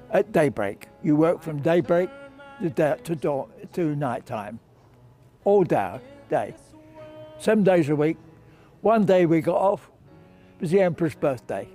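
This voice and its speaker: Very old man, war veteran, higher-pitched mellow voice, short repeated phrases, faint music behind. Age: 90s. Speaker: war veteran, former prisoner of war. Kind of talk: interview reminiscence. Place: outdoors on a bench by a car park.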